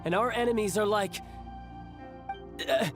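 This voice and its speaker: Young commander and doting older brother, articulate, theatrical game delivery over faint music. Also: male voice, high voice, a little slow. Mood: grandiose, commanding. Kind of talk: video game voice acting.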